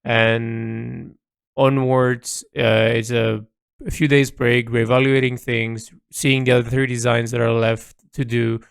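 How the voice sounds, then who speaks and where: Male, creaky low-fry delivery, dry close recording; reflective, halting audio-log narration by an artist. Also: little pitch movement, dry recording. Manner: hesitant, thinking aloud. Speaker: illustrator keeping an audio log. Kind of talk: reflective unscripted audio diary.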